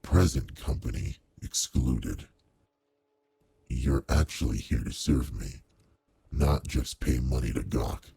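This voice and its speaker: Man voicing a very deep, gravelly demon lord. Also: no room sound, full character voice. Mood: probing, concerned. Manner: measured, formal, authoritative with soft concern. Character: commanding male demon lord, old-fashioned, courteous, quietly caring boss. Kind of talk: roleplay audio drama, intimate one-to-one address.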